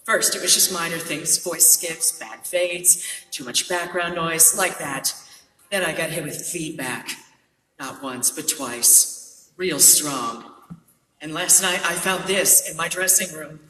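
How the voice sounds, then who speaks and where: Sultry female club singer played by an actress, noir radio drama client: anxious, coy, forceful tone, distant in an echoey hall. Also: deep voice, takes it slowly, slight background noise, heavily acted. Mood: troubled.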